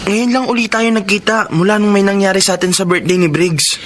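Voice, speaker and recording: A man's voice: sits high, steady tone, brisk, a bit bright. An actor with a Filipino accent playing an evasive, callous young man in a radio drama, with a noisy background. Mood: defensive.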